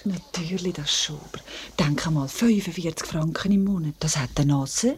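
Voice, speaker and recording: An actress playing a tender, thrifty young wife and mother with a Swiss accent, soft and conciliatory, in a 1950s radio drama. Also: sits low, moderate pace, close to an everyday voice. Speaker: actor. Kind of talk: 1950s German-language radio drama acting.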